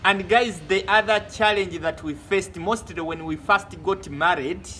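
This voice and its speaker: A man's voice: sits high, a little slow, pushed and bright. A Ugandan man co-hosting a casual couple chat, bantering easily and laughing often. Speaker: vlog co-host.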